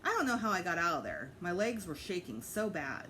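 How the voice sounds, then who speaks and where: Female teacher, storybook narration for kids: very expressive intonation, slightly low pitch, faint noise behind. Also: a little fast, rough recording. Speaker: teacher reading aloud to students.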